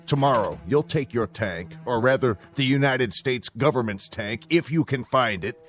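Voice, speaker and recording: An actor playing a gruff, skeptical army officer who mocks his sergeant's story. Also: mid-range voice, animated, moderate pace, close to an everyday voice. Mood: sarcastic. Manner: sardonic, mocking. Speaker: commanding officer. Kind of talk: 1960s television drama acting.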